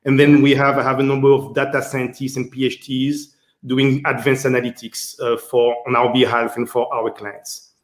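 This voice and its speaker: A man's voice: mid-range voice, little pitch movement, normal speed. A man from Martinique with a French accent, answering interview questions as a guest executive, hesitant and full of fillers. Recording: roomy echo.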